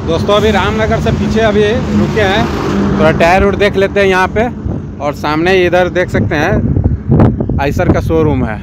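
A man in his forties, a truck driver, chatting to viewers as he films himself on a phone held at arm's length, outdoors by a highway and in his truck cab. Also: unhurried, loud music behind the voice, rough recording. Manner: chatty, addresses viewers as friends. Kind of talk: casual vlog narration. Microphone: phone camera at arm's length. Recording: loud traffic and road noise. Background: vehicles and traffic.

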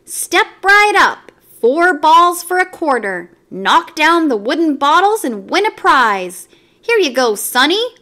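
A woman voicing a loud male carnival barker who hawks and shouts at the crowd. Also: big pitch swings, measured pace, few pauses, heavily acted. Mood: brash. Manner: booming, hawking.

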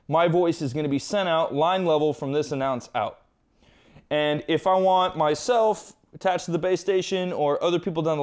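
A man with a fairly high, clear voice walks through a technical tutorial. He explains each step informally and conversationally, like a hands-on instructor. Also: darkish tone. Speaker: instructor presenting an equipment tutorial.

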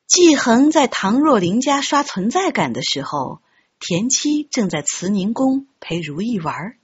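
A woman narrates a story with an expressive, scripted delivery. Her voice has a husky grain and moves in lively swings of pitch. The recording is slightly dull and lo-fi. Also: unhurried. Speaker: audiobook narrator. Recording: dull, muffled lo-fi audio.

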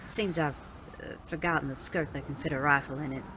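Tough frontier madam played by a woman, low-pitched, cutting and dry Western drawl, audio Western. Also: steady tone, fairly quick, background noise, lightly acted. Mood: hostile, cutting. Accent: American Western drawl. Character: tough, prickly, foul-mouthed Wild West saloon madam. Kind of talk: audio drama acting.

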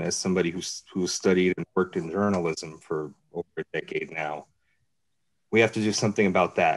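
A man with a deep voice speaks slowly and deliberately, taking long pauses as he chooses his words. His delivery is measured and somber. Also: rough recording. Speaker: journalist. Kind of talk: reflective spoken commentary.

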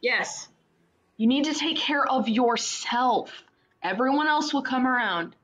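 An actress playing a supportive, teasing younger sister in a radio play. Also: female voice, measured pace, a bit bright. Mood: reassuring. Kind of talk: radio play acting, naturalistic dialogue. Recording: dull, muffled low-fidelity microphone.

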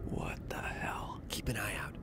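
A man's voice: measured pace, in a whisper, lightly acted. A man playing a desperate, vengeful skipper in an audio drama, intense and urgent. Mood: panicked whisper. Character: desperate bereaved male skipper bent on revenge.

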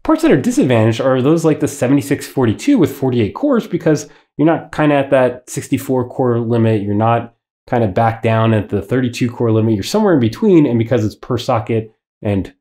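A man's voice: medium pitch, sing-song, fairly quick, mellow. Nasal man with a head cold. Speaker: tech channel host. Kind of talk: scripted explainer to camera. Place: small indoor studio with wood backdrop.

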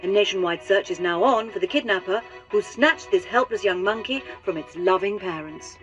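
A woman's voice: medium pitch, normal speed, fairly bright tone, faint music behind. Muffled newswoman. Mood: urgent, formal. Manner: formal, urgent announcing. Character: earnest female television news reader. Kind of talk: cartoon news bulletin. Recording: muffled television sound.

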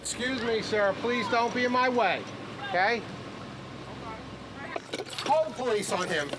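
A man's voice: very high-pitched, unhurried, noisy background. A man working as a parking enforcement officer, speaking firmly and matter-of-factly on the street. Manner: firm, matter-of-fact. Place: city street outdoors.

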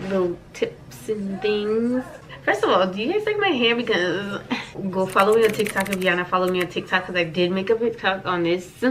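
A woman's voice: medium pitch, a little hiss or hum. An American woman in her thirties chatting casually and playfully to camera as a vlogger, repeating phrases for emphasis. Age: 30s. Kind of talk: casual chatty life-update vlog to camera.

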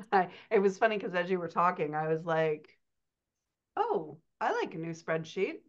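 An American woman with a fairly low voice speaks casually as a coach co-hosting a Q&A. The audio is a little dull, as on a video call. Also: very animated, unhurried. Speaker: writing coach and co-host. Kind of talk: casual Q&A chat.

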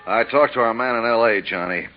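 An actor playing a blunt, businesslike insurance colleague in a 1950s radio detective drama. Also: male voice, medium pitch, brisk, soft background music, lightly acted. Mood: briefing, casual. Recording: muffled 1950s radio recording.

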